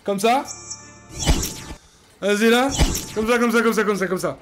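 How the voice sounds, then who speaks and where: A man from northern France giving casual, jokey, crude and excitable comic commentary as a cartoon show host. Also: sits high, measured pace. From Lille, northern France. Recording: music behind the voice.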